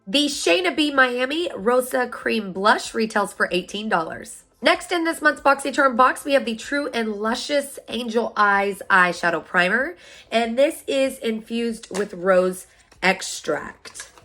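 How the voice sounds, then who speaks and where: A woman in her thirties, a beauty vlogger, sounding hoarse and stuffed up from a sinus infection while chatting upbeat straight to her viewers about products. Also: a little high, expressive, unhurried, sharp tone. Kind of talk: product unboxing review.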